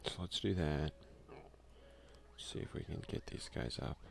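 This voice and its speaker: A man hosting an ASMR let's play, speaking softly and casually into a close microphone. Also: very deep voice, expressive, a little slow, vocal fry. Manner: hushed, casual. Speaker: ASMR gaming host. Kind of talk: soft-spoken ASMR let's play commentary.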